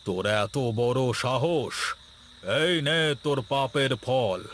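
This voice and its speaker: Casting: male actor as aged sage for cartoon fable, mid-pitched, unhurried, authoritative, grave; faint noise behind. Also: lively intonation, fairly bright tone. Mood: stern, wrathful. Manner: solemn, slow, authoritative. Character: stern, benevolent old holy sage granting boons and curses. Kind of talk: Bengali cartoon story voice acting.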